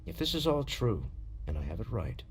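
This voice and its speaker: A man's voice: fairly low pitch, very animated, brisk, soft background music. A man voicing a principled, worried space explorer in a video game, earnest and plain-spoken. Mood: troubled. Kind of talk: naturalistic dramatic game dialogue.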